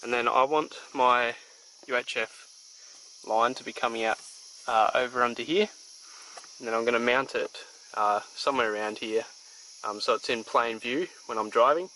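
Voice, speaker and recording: A man, casually explaining a radio install step by step while working, with relaxed pauses. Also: medium pitch, slight background noise, rough recording. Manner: relaxed, plain-spoken, frequent "uh" pauses. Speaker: DIY car install presenter. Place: outdoors beside and inside a vehicle.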